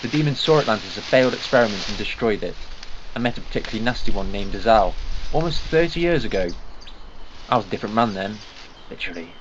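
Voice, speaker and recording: A man's voice: on the low side, animated, fast, slight background noise, natural voice. A man playing a wry, eccentric time traveller who explains ancient threats. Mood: explaining. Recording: lo-fi fan audio drama.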